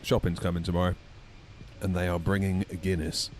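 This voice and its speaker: A croaky man chatting casually with his viewers while flying a sim. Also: sits low, level tone, brisk. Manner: chatty, talks to chat readers. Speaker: streamer. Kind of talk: casual chat while flight simming.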